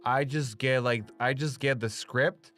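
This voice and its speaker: A man's voice: medium pitch, fairly even intonation, measured pace, fairly bright tone, soft background music. A young man from Romania with a slight Romanian accent, chatting casually with stream viewers, rambling with lots of "like" and freely swearing. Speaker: streamer and voice actor.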